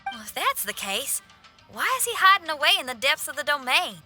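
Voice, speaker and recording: Game role: young female adventurer, matter-of-fact yet inquisitive, high, animated voice with music faintly behind. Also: a little fast, full character voice. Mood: questioning. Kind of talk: game dialogue.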